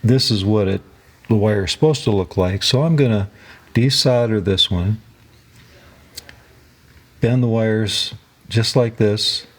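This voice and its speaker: A low-pitched male voice with a slightly rough, husky edge. He hosts a hands-on repair tutorial, speaking in a halting way as he thinks aloud through each step. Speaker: host of a DIY electronics repair channel.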